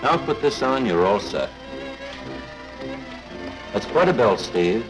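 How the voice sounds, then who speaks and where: An actor playing a genial, wisecracking undercover agent in a 1940s film, brisk and chummy. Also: male voice, medium pitch, big pitch swings, rough recording. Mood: businesslike, friendly. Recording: 1940s film soundtrack with music.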